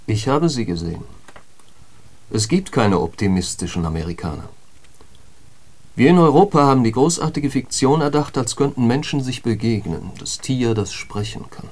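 An actor playing a cynical, philosophizing intellectual in a literary radio drama, declaiming emphatically in aphorisms. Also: male voice, medium pitch, lively intonation, normal speed, darkish tone. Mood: sardonic, resigned.

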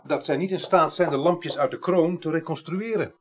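An actor in a 1980s radio drama playing a formal, methodical police inspector with a dry, measured manner. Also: male voice, a little high, close to an everyday voice. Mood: businesslike, dry.

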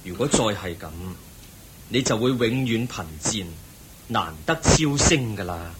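An actor with a Hong Kong Cantonese accent voicing a calm, patient Buddha who gently teaches. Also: male voice, fairly low pitch, measured pace, heavy background noise. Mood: admonishing. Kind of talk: Cantonese radio drama acting.

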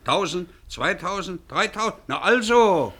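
A man's voice: sits high, animated, unhurried, sharp tone, full character voice. An actor in a German radio drama playing a blunt, practical male innkeeper. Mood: brisk, practical. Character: brusque, practical male innkeeper demanding payment.

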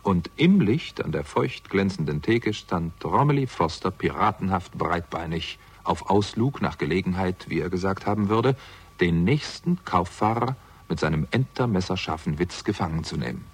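A man's voice: low voice, animated, moderate pace, slightly rough. A man playing a world-weary investigator who narrates his own case in a radio crime drama, dry and literary. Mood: wry, reflective. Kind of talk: scripted first-person radio drama narration.

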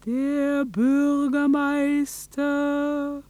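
A high-pitched old countrywoman in a radio play, stubborn and curt. The recording is close and dry, and she insists on her rights with fierce defiance. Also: little pitch movement, drawn-out words, darkish tone, dry recording. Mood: slow, incantatory. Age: older. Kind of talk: German radio drama acting.

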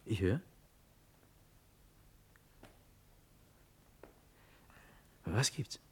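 An actor playing a proud young condemned prince in a classical German verse radio drama, declamatory, measured and solemn. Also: male voice, fairly low pitch, animated, slightly rough. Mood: quiet, resolute.

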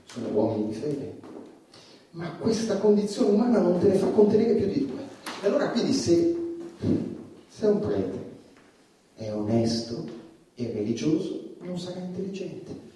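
Casting: male actor as provocative stage comedian, very dark muted tone, theatrical pauses and repetitions, distant miking with music behind. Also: high voice, very animated, slight background noise. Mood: wry, pointed. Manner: dramatic pauses, addresses the audience as friends, repeats phrases. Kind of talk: theatrical stand-up comedy monologue in a stage play. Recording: echoey room, distant mic, music behind.

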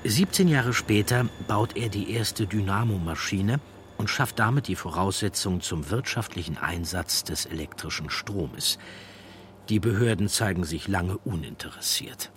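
A man reading scripted documentary narration as a measured storyteller. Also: deep voice, fairly bright tone, slight background noise.

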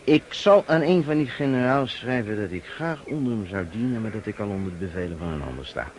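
An actor playing a gruff, shrewd Russian partisan officer in a radio drama, commanding and emphatic. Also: male voice, fairly low pitch, animated, fairly quick, close to an everyday voice. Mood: brisk, scheming. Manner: commanding, emphatic, grumbling oaths. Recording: noisy old radio play recording.

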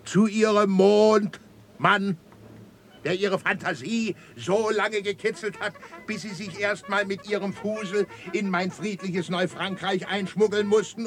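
A man's voice: high-pitched, gravelly, fairly bright tone, exaggerated voice. An actor in a radio drama playing a sneering, authoritarian colonial military commandant who barks and mocks as he interrogates. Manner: barking, mocking, interrogating.

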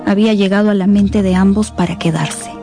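A woman's voice: medium pitch, steady tone, brisk, mellow. A woman reading dramatic scripted narration as the narrator of a Spanish-language radio novel. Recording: clear music behind the narration.

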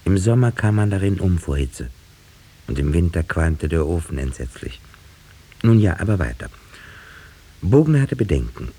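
A man's voice: low voice, sing-song, moderate pace, pauses often, warm tone, breathy edge, heavily acted. A man playing a wry, sceptical village schoolteacher who tells the tale in a 1950s radio play, reflective and dryly ironic. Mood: wry, storytelling.

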